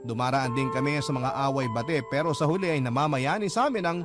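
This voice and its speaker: A man's voice: medium pitch, fast, loud music behind the voice. A man narrating his own love story in the first person, in an earnest, confessional tone with polite address. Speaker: letter-sender narrator.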